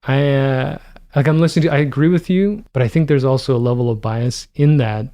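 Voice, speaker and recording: Male podcast co-host, forties, gravelly, rambling thinker. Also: medium pitch, fairly quick, mellow. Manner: rambling, thinks aloud with many fillers. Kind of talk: casual film-discussion chat.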